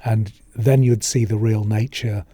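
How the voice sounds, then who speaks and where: Warm husky middle-aged ex-cop. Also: male voice, fairly low pitch, fairly even intonation, unhurried, no room sound. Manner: blunt and opinionated. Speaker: former police officer, co-host. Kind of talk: casual chat.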